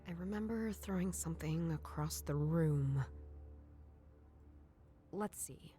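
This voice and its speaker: A woman playing a jaded, sardonic detective in a noir video game, musing in a dry, weary deadpan. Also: deep voice, takes it slowly, soft background music. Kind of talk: video game voice acting, inner monologue.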